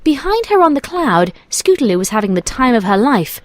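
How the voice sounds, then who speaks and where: A woman's voice: high voice, sing-song, fairly quick, no room sound. A woman reading scripted story narration as the narrator of a tale.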